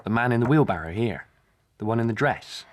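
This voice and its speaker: An actor playing an eager, teasing, curious friend in a naturalistic radio drama, wry and probing. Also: male voice, mid-range voice, lively intonation, brisk, close to an everyday voice.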